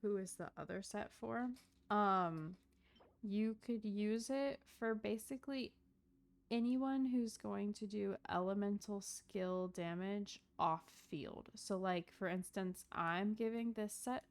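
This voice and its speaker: A woman's voice: medium pitch, slow, smooth. A woman livestreaming a video game, chatting casually in a rambling, easygoing way about play. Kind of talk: casual chat, gameplay commentary. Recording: game music behind. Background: video game music and character voices.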